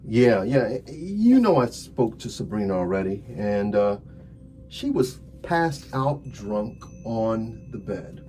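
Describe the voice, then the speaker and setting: A man playing a calm, methodical, sardonic police detective in an ASMR roleplay, talking deliberately with drawn-out phrasing and wry asides. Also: fairly low pitch, slight background noise. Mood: pressing, sardonic. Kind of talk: slow ASMR roleplay acting. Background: music.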